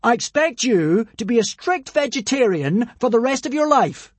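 A man voicing a boy who poses as a wrathful thunder god, proclaiming solemnly and boomingly in an audiobook. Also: big pitch swings, moderate pace, no echo, heavily acted. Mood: commanding.

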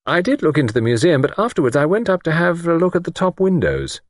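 A man reading an audiobook voices a calm young male investigator in a relaxed, dry manner. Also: on the high side, fast talker, no room sound, voice pushed up. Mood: casual.

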